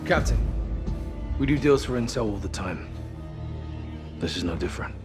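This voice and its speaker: An actor playing a level-headed special forces sergeant in a video game, direct and pragmatic. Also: male voice, fairly low pitch, animated, moderate pace, over music, close to an everyday voice. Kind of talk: cinematic game dialogue.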